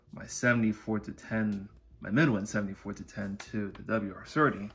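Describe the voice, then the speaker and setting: A man presenting a collection in a casual showcase style, with halting, wordy phrasing. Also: fairly low pitch. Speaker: video creator presenting a collection. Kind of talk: casual product showcase narration.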